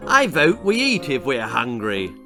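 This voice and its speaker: A man voicing the sensible, bossy eldest child of a British storybook gang, brisk and plummy. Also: mid-range voice, wildly swooping pitch, pushed and bright, faint music behind, full character voice. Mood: decisive.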